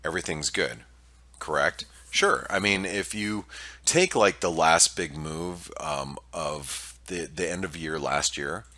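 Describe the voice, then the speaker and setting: A man with a very deep voice that still sounds bright and forceful. He is nasal and stuffed up, and he talks through a market preview with lots of "um"s and false starts. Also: takes it slowly. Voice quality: nasal from allergies. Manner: hesitant, many fillers and restarts. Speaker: market analyst presenting weekly preview. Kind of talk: casual screen-share market commentary.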